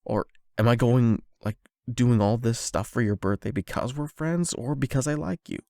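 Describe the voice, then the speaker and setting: Guy doing a nervous lovestruck confession roleplay, croaky voice, very dry close recording, hesitant and unsure of himself. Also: medium pitch, warm tone, no echo. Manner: hesitant, halting, self-doubting, conversational. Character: nervous lovestruck young man confessing to online friend. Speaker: audio roleplay performer. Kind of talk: emotional confession roleplay, ASMR-style monologue.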